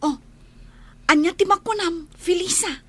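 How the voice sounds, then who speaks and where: A woman acts a young housemaid in a radio drama, emotional and stubbornly loyal. Her voice is very high and plaintive, slowly paced. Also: a bit bright. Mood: pleading, insistent. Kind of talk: Ilocano radio drama acting.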